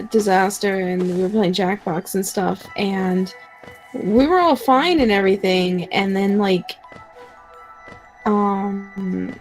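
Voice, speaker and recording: A woman streamer telling a story in a quick, animated voice full of fillers. Her pitch sits in the middle, and faint game music plays underneath. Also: poor audio. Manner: chatty, fast and animated, lots of fillers. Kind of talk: casual chat with co-streamers.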